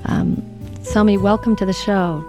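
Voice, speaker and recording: A woman's voice: mid-range voice, steady tone, a little slow, music in the background. A woman hosting a radio interview, asking questions in a conversational way. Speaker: radio host.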